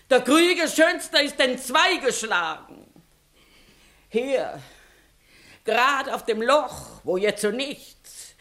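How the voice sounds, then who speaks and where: An actress playing a long-winded, indignant village plaintiff in a classical German comedy radio play, declaiming emphatically and plaintively. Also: female voice, a little high, a bit bright, exaggerated voice.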